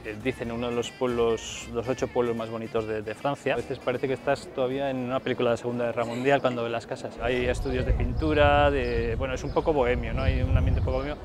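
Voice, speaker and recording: A man's voice: mid-range voice, level tone, fast talker, hardly any pauses, music in the background. A man from Spain with a Spanish accent, giving a casual, explanatory guided tour outdoors as a featured guest. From Spain, Aragon. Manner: conversational, explanatory. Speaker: featured guest showing the area. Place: outdoors, airfield and village streets.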